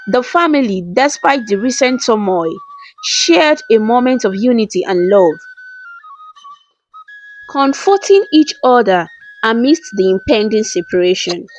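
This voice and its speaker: Woman narrator, scripted folktale, dramatic formal delivery, medium pitch, slightly dull recording, faint flute music. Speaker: storyteller. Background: soft background music with flute.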